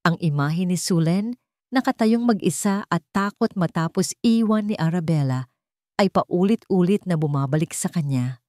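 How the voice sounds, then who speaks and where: Low-voiced woman narrating a dramatic story. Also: normal speed, warm tone, no echo, clean studio sound.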